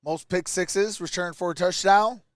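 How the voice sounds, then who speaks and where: A man with a high, clean voice calls a game live and chats casually between plays. He is recorded dry and close, as a streamer. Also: measured pace, no room sound. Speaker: livestream host and play-by-play commentator. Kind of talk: live game commentary and casual chat with viewers.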